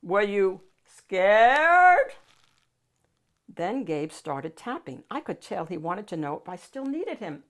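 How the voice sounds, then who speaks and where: A woman's voice: on the low side, big pitch swings, normal speed, few pauses, darkish tone. A woman narrating a children's picture book expressively as its reader and host.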